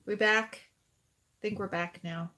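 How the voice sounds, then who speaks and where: A woman's voice: mid-range voice, fairly even intonation, slow pace, sharp tone, a little hiss or hum. A woman with a nasal voice chatting casually on a livestream, showing food products. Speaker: co-host of a livestream.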